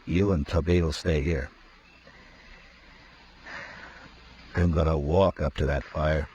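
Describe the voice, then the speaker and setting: An actor playing a tough, calm frontier marshal in a 1950s radio drama, speaking in a firm, measured way. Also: male voice, very deep voice. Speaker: lead actor. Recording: old radio broadcast with faint hiss.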